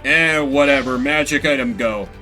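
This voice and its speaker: A man's voice: mid-range voice, moderate pace, sharp tone. A man streaming a video game, giving casual, rambling commentary with jokey offhand asides. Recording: game music in the background. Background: game music.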